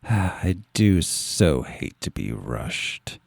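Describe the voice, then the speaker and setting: Duplicitous doctor, audio drama: very low voice, wry and formal, lively swings in pitch. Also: male voice, drawn-out words, gravelly, dry recording, studio quality, heavily acted. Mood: smooth scheming. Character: scheming, duplicitous doctor, adult man, bargaining smoothly.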